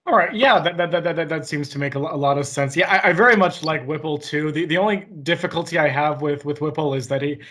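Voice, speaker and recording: A young man hosting a casual interview, his voice a touch higher than most men's. He stumbles and repeats words as he asks his questions, with an easygoing tone. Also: fairly bright tone, a little hiss or hum. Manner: halting, repeats words, laughs lightly. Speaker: podcast host.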